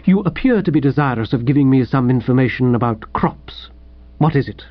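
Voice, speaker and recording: Man playing a stiff, pompous, peevish gentleman writer. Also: medium pitch, expressive, brisk, no echo, muffled recording, natural voice. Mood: peeved. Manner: pompous, clipped, icily formal. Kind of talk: audiobook character voice.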